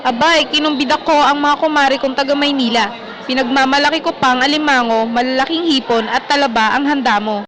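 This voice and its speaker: A woman's voice: high-pitched, fairly even intonation, a little fast, a crowd in the background, natural voice. A woman playing a cranky, scornful older Filipino mother-in-law who nags and scolds sarcastically in a radio drama. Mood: indignant. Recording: faint background noise.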